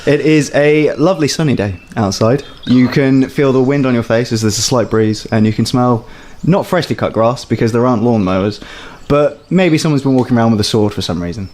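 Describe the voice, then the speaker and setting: A man in a mid-range voice leads a tabletop game, setting each scene in plain descriptive sentences. He pauses now and then with small fillers, and a faint noise sits under his voice. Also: mid-range voice, a little mellow. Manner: descriptive, lightly hesitant narration. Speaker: game master.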